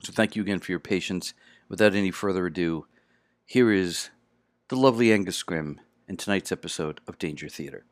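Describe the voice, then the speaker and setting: A man's voice: low voice, fairly quick. An exhausted American man giving a casual podcast introduction as host.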